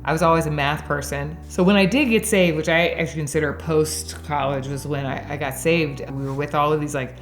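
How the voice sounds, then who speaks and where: A woman's voice: low voice, steady tone, a little fast, hardly any pauses, soft background music. A woman in her forties from Texas, sharing her faith story in a chatty way, laughing often and using lots of fillers. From Dallas area, Texas. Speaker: interviewee. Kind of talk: personal faith testimony.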